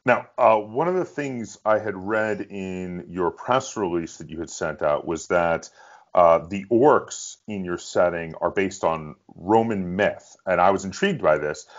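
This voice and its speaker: Male host, fairly low pitch. Relaxed conversational questioning, muffled low-fidelity recording. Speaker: interviewer, host. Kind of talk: casual interview conversation. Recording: dull, lo-fi audio.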